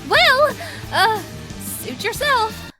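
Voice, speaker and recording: A woman playing a flustered, bossy but soft-hearted teenage magical-girl leader in an anime parody drama. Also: extremely high pitch, sing-song, very slow, heavily acted, rough recording. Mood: defiant. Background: background music.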